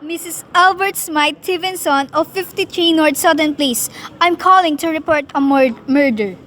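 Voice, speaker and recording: A woman's voice: high voice, moderate pace, fairly bright tone, slight background noise. A woman playing an agitated, self-important married lady in a radio play, imperious, flustered and prone to stammering. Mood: flustered, urgent.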